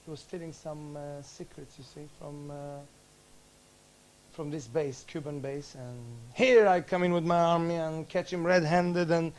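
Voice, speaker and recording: A cheerful, energetic actor from Turkey, talking casually in an on-set interview. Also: male voice, a little high, measured pace, low-quality recording. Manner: cheerful, energetic, self-assured. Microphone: camera microphone.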